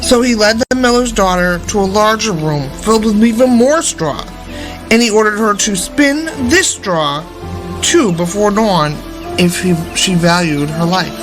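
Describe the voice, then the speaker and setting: A woman's voice: medium pitch, animated, measured pace, rough recording. A woman storyteller reading a fairy tale aloud expressively. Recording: music behind the voice. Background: background music.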